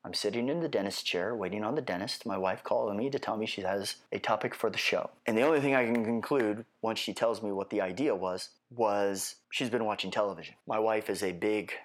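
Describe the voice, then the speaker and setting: A man's voice: lowish voice, brisk, few pauses. Nasal man rambling through his podcast. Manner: rambling, wry asides, self-correcting. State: getting over a head cold. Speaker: podcast host. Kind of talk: solo podcast monologue.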